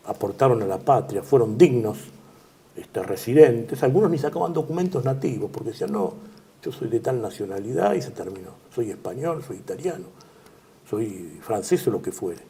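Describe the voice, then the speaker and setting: Man, Argentine accent, older, husky, dark tone, measured interview speech, trailing phrase endings. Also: mid-range voice, animated. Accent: Argentine Spanish. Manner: measured, trailing off at phrase ends, fillers like "este". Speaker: guest. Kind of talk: political interview.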